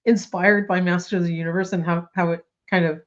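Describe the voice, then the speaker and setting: A woman's voice: lowish voice, level tone, fairly quick, highs cut off. A woman co-hosting a toy news podcast in casual chat, bubbly and full of fillers, coming down with a slight cold. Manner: chatty, bubbly, lots of likes and ums.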